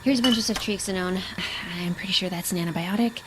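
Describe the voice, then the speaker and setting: A woman playing a practical young crewmate in a sci-fi audio drama, talking in a brisk, matter-of-fact way. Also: medium pitch, noisy background, natural voice. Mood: brisk, focused.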